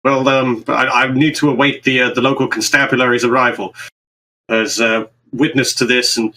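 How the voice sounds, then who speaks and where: A man playing an intense, agitated investigator who speaks in a halting, formal and insistent way. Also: medium pitch, flat delivery, bright, forceful tone, poor audio. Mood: tense, halting. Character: intense, wound-up male investigator, curt and agitated. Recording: music behind the voice.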